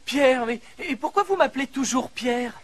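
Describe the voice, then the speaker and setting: A performer playing a dazed, flustered young man in an amateur film dub, hushed and stammering. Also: high voice, lively intonation, moderate pace. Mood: flustered, bewildered. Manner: hesitant, stammering.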